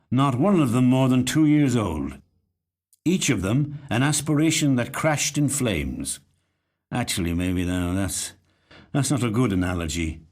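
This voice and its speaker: A man playing a sardonic salesman in a short story reading, talking in a dry, wry, persuasive way. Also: medium pitch. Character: sardonic, salesman-like man with buried hurt, swapping stories.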